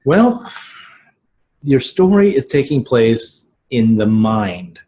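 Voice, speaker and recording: An American man in his forties giving an informal instructional talk to camera at home, deliberate and emphatic, with halting pauses. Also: mid-range voice, sing-song, dark tone, a little hiss or hum, low-quality recording. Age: 40s. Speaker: video podcast host, writing teacher. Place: small living room at home, indoors.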